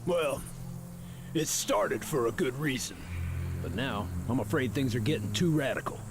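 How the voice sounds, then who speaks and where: An actor playing a gruff, boozy middle-aged rebel in a video game, talking in a teasing, folksy way. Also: male voice, medium pitch, animated, normal speed, noisy background, lightly acted. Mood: wistful. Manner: teasing, folksy, calls the listener "young blood". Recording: game audio under loud music.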